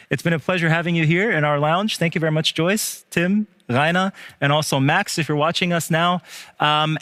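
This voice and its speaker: A man moderating a panel interview, with a smooth, friendly interviewer manner. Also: a little high, pushed and bright, dry recording.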